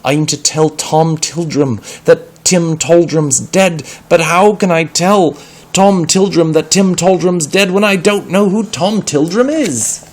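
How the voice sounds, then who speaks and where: A man's voice: a little high, slow pace, pitched above the performer's own voice. A man voicing a frightened gravedigger in a storybook, pleading and insistent in a breathless way. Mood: frantic, pleading. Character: frightened, frantic young gravedigger Peter in storybook.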